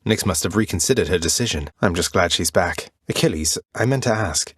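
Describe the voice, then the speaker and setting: An actor playing a wry, courteous young prince of the underworld in a video game, with dry, understated delivery. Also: male voice, sits low, brisk, a bit bright, breathy edge, no room sound. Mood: concerned. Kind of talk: scripted game character dialogue.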